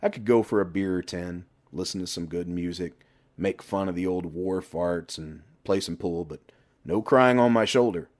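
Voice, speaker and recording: A man's voice: deep voice, moderate pace, natural voice. A man voicing a crude, sarcastic middle-aged best friend who needles and teases in an audiobook story. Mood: loud joking. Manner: sarcastic, teasing.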